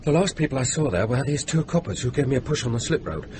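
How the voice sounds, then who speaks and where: Actor as an amiable stranded motorist, noisy recording. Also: male voice, mid-range voice, expressive, fast talker, natural voice. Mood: relieved, chatty. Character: stranded motorist, shaken but amiable man. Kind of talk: radio drama dialogue. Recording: noisy radio drama recording.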